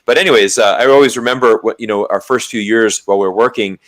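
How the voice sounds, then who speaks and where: An American man with a slightly low voice talks casually on a livestream. He is emphatic, often repeating words to stress his point as he shares opinions with a co-host. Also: fast. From Florida, United States. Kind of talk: casual livestream political chat.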